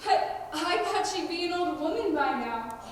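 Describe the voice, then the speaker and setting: Teen female actor as starstruck young outlaw recruit, very high voice, unhurried earnest stage lines in a school play. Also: expressive. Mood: starstruck, eager. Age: teenager. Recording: distant, echoey hall with background noise.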